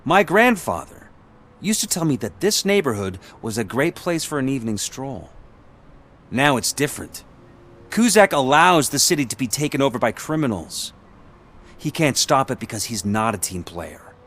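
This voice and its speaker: Smooth pompous city politician for a game, formal wording, voice moves around a lot. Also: male voice, medium pitch, sing-song, moderate pace, fairly bright tone. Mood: earnest, critical. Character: polished, ambitious male civic politician. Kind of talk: video game dialogue acting.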